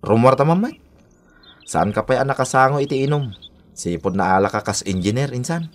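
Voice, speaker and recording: A man's voice: medium pitch, expressive, fairly quick, lightly acted. An actor playing a carefree, wayward young man in a radio drama, with a Filipino accent. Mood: carefree, cajoling. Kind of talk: Ilocano radio drama acting.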